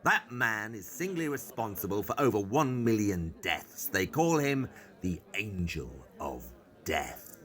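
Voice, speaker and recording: Shrewd, intense gentleman detective, lowish and sharp, dry and deliberate, for a radio play. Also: very animated. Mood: grim, intense. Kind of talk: audio drama acting.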